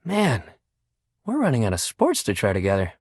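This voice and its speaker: An eager, sports-mad gym buddy from a video game. The pitch leaps around in a very animated way. He talks in casual bro slang like an encouraging coach who badly wants to please, recorded dry and close. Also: normal speed, slightly rough, no echo, full character voice. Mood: wistful.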